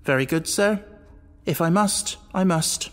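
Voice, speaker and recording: Man acting a high-pitched, frightened butler. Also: unhurried, in a large hall. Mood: defiant. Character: frightened, agitated male butler, evasive and pleading.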